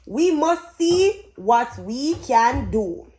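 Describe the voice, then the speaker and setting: A woman voicing a calm, kindly storybook queen in a measured, deliberate way. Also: high-pitched, slight background noise, exaggerated voice, low-quality recording.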